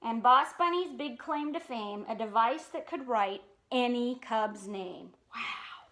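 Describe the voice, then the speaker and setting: A woman's voice: a little high, lively intonation, slow, a bit bright. A woman hosting storytime, reading a children's storybook aloud expressively and adding casual asides. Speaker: storytime host.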